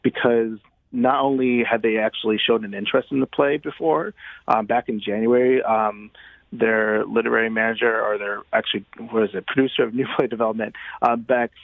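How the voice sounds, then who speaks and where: A man being interviewed as a guest playwright, talking conversationally in a thoughtful, halting way with many pauses. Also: mid-range voice, steady tone, vocal fry, dry recording, narrow, tinny audio.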